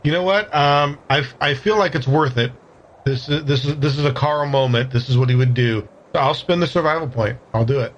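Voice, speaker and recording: A man's voice: mid-range voice, fairly quick, a little hiss or hum. A man plays a tabletop game, talking casually and working out his moves aloud in a tentative way. The recording is a little dull and muffled.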